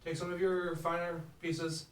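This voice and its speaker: A man's voice: fairly high pitch, completely flat delivery, normal speed, recorded from a distance, slight background noise. A man playing a tabletop game, talking eagerly and laughing easily. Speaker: player. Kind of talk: casual play chat. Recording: echoey room, mic from afar, music behind.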